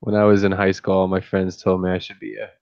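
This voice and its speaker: Deep male voice, very low, monotone, rambling casual chat, muffled lo-fi sound. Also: fast talker, gravelly, smooth. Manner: rambling, easygoing, thinks aloud with many restarts. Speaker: fish-keeping streamer and YouTuber. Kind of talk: casual late-night chat.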